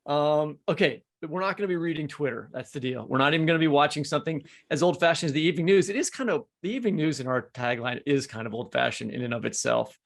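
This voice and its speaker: A man's voice: on the high side, fast, creaky, hardly any pauses. A middle-aged man hosting a podcast in an informal, joking, conversational way, with plenty of words. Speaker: podcast host.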